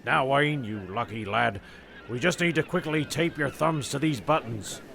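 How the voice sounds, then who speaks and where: A man's voice: medium pitch, normal speed, vocal fry, heavy background noise. A man narrating a comic audio play and speaking to its characters.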